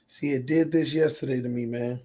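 A man hosting a casual livestream from his room at home while setting up a game, talking quietly because he is losing his voice. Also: mid-range voice, fairly even intonation, a little fast. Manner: talks quietly to spare his voice, reads chat aloud. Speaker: sports channel host. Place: small home room full of fan decor. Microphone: desk mic on stand.

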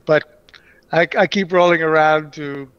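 A man's voice: on the high side, steady tone, measured pace, a bit bright, highs cut off. A man who grew up in Puerto Rico, answering interview questions in a rambling way with many restarts and fillers. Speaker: guest.

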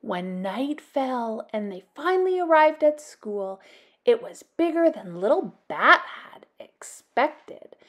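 A woman, reading a children's storybook aloud in an expressive, deliberate way. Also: a little high. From Canada. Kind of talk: animated storybook read-aloud.

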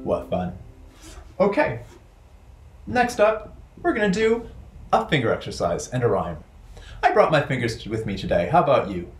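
A man in his thirties telling stories and rhymes to small children as a storytime host, in playful, simple, deliberate phrasing. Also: medium pitch, sing-song, soft background music. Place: bright indoor room with wooden floor.

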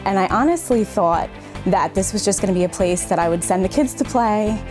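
A woman's voice: mid-range voice, fairly quick. A woman in her thirties from the United States giving a casual customer testimonial. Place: indoors on a couch. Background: music.

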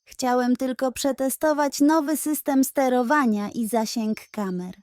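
A woman's voice: high voice, a little slow, a little mellow, dry recording, clean studio sound. A woman voicing an eager, sheepish young male badger inventor in a children's bedtime story. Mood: apologetic. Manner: enthusiastic, sheepish.

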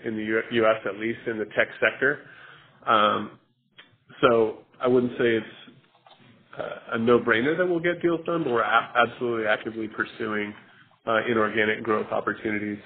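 Man on a phone-like conference line. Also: medium pitch. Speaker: company chief executive answering investor questions. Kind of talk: conference call Q&A. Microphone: telephone conference call.

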